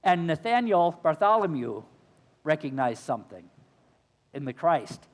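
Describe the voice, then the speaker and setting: A male minister preaching a sermon, his voice slightly hoarse, asking the congregation earnest questions. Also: a little high, very animated, measured pace, big-room sound. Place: church sanctuary.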